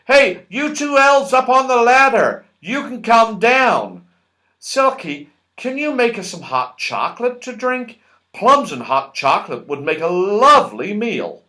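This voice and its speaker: Man voicing a high, excitable, bossy storybook fellow. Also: unhurried, heavily acted. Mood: pleased, bossy. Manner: exclaiming, calling out orders.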